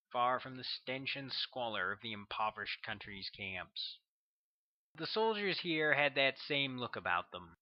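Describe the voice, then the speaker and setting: A man reading his own novel aloud as an audiobook, in measured, even narration. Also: medium pitch, no echo, dull audio.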